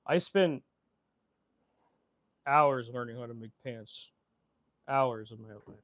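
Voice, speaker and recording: A man's voice: mid-range voice, takes it slowly, fairly bright tone. A man in his twenties chatting casually on a livestream, reading viewer comments aloud and answering them with laughs, stumbles and repeated words. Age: 20s. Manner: reads viewer comments aloud and rambles back. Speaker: streamer.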